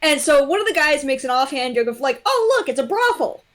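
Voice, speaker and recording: A woman's voice: high voice, big pitch swings, fairly quick, a bit bright. A young adult woman chatting casually as a livestream guest, blunt and freely swearing. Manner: blunt, profane. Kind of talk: casual chat.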